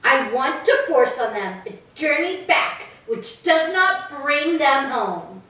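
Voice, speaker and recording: A woman as a bright, high-voiced vengeful goddess. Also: very animated, heavily acted. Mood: resentful. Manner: deliberate, formal. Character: proud, vengeful warrior goddess. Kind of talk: staged reading of Greek tragedy. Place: echoing church interior. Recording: very echoey hall, distant mic.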